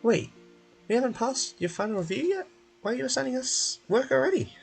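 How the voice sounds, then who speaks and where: A man voicing a small, childlike game companion in a squeaky falsetto. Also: big pitch swings, brisk, vocal fry, heavily acted. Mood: indignant. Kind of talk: video game character voice-over. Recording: music behind.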